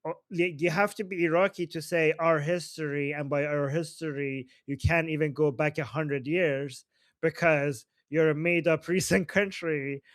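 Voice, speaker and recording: A man's voice: a little high, fairly even intonation, normal speed, no echo. A man from Iran hosting a casual political discussion, joking and teasing, laughing often. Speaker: host.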